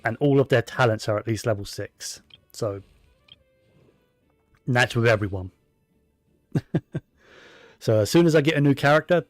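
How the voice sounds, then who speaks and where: A man's voice: medium pitch, no echo, clean studio sound. A man giving casual, rambling commentary while playing a video game, with plenty of fillers and restarts. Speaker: gaming streamer.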